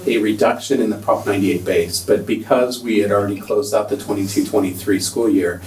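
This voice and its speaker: A soft-spoken man giving a budget presentation at a school board meeting, hesitant with many ums. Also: lowish voice, steady tone, a little fast. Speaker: school district finance presenter. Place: large meeting room. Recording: echoey room, distant mic, faint noise.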